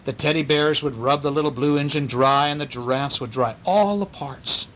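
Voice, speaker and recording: A man's voice: a little high, normal speed, a bit bright, a little hiss or hum, low-quality recording. A man reading a bedtime story to his young children in a casual, playful way, breaking off to ask questions and act out sound effects.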